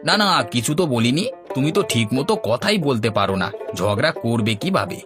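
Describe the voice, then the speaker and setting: A man's voice: medium pitch, big pitch swings, normal speed, over music, natural voice. A man voicing a henpecked village husband in a cartoon, placating and grumbling with dry jibes. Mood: placating, muttering. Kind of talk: Bangla cartoon voice acting.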